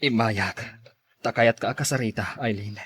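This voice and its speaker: A man's voice: lowish voice, normal speed, fairly bright tone, breathy edge, no echo. An actor with a Filipino accent playing a lovesick, heartbroken man who drinks, with an emotional, pleading delivery in radio drama style. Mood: pleading, downcast.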